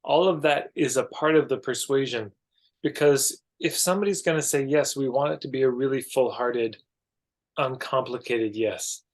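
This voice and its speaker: A man's voice: mid-range voice, normal speed. Canadian man explaining ideas conversationally. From Alberta, Canada. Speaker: podcast guest, marketing coach.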